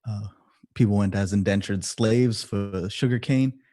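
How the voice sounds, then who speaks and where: A young man chatting casually between songs of his livestream set, halting and rambling with many fillers. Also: fairly low pitch, mellow. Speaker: musician performing a livestream set. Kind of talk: casual between-song chat.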